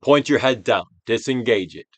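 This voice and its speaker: A man's voice: medium pitch, normal speed, a bit bright, dry recording. A man giving a theatrical, declamatory dramatic reading of a self-help book, like a stage Shakespearean, drawing out phrases for emphasis. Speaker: audiobook reader, actor.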